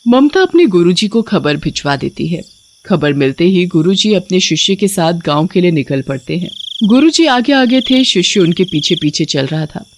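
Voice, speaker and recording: A woman's voice: on the low side, very animated, moderate pace, a little hiss or hum. Woman narrating a horror story.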